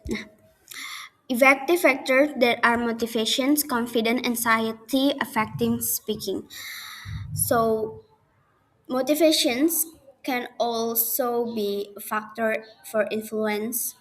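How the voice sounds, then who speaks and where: A young woman with an Indonesian accent giving a class presentation in halting non-native English, restarting her sentences. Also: fairly high pitch, steady tone, rough recording. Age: young adult. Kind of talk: class presentation on speaking skills.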